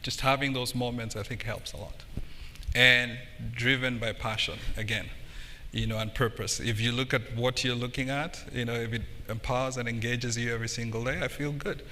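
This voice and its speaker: A man's voice: mid-range voice, steady tone, moderate pace, sharp tone. A middle-aged man from Kenya speaking as a panelist at a conference, recorded in a live hall. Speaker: panelist, tech company internal audit executive. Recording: echoey hall with background noise.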